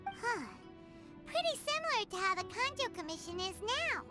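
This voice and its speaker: Tiny squeaky companion girl, super high, bouncy and bright. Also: sing-song, moderate pace, soft background music, full character voice. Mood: musing.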